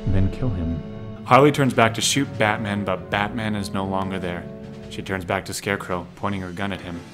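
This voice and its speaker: A man narrates an audio drama in scripted, present-tense action prose. His voice sits fairly low. Music is prominent underneath. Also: fairly even intonation, brisk.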